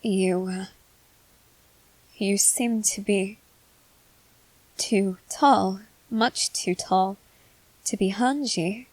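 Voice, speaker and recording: A woman voicing a composed, haughty junior high schoolgirl with formal, measured, articulate speech in a scripted ASMR roleplay. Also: a little mellow, dry recording, low-quality recording. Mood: uneasy, halting.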